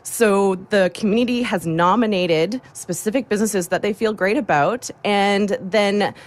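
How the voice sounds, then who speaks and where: A woman's voice: medium pitch, measured pace. A woman speaking as an upbeat, enthusiastic guest on a radio show, casually promoting local community work. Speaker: radio show guest, designer and chamber board member. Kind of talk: casual promotional interview talk.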